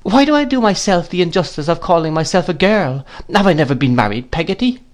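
A man doing a tearful young mother, high and pleading. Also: very animated, a little slow, gravelly, full character voice. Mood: distressed. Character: young mother, pretty, tearful and easily wounded.

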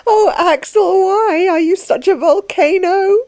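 Lady doing a weepy young woman from a novel, really high, halting n pleading, crying, sorry. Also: darkish tone, no echo. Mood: distressed, crying. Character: tearful young woman, apologetic, pleading, distressed.

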